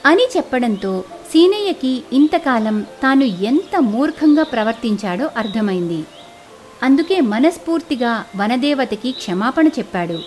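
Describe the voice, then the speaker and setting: A woman's voice: fairly high pitch, sing-song, measured pace, darkish tone. A woman narrating a scripted children's moral story as a storyteller.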